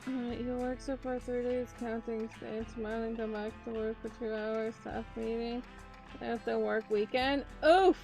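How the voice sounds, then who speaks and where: A woman's voice: on the high side, steady tone, a little slow, gravelly, music in the background, low-quality recording. A woman streaming live as a VTuber, chatting casually with her viewers and joking about herself, her voice tired. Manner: chatty, talks to chat, self-mocking.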